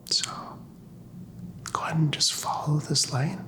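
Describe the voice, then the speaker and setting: Softly whispering man, ASMR doctor roleplay. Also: a little high, measured pace. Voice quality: soft, breathy whisper. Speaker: ASMR performer playing a doctor. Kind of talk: ASMR roleplay of a medical exam. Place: small doctor's office.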